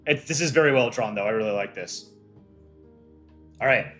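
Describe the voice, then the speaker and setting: A man's voice: mid-range voice, normal speed, a bit bright, faint music behind. A man hosting an art contest on a livestream, reading out viewer chat and answering it offhand in casual talk.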